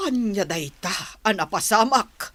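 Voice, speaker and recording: A woman's voice: mid-range voice, lively intonation, a little slow, fairly bright tone, no echo. A woman acting a disapproving, grudging older grandmother in a Filipino radio drama, with a complaining manner. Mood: probing.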